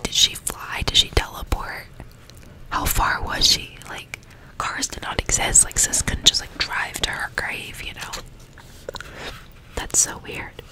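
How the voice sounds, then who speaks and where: A woman whispering softly and breathily into a close microphone, ASMR style, reading a book and chatting intimately in asides. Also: low-quality recording. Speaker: ASMR reader and commentator.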